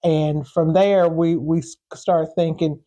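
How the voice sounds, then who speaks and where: Very mellow older woman, halting interview guest. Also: low-pitched, steady tone. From western Kentucky, United States. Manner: halting, repeats words as she searches. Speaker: interview guest, community preservation advocate. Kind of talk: unscripted interview answers.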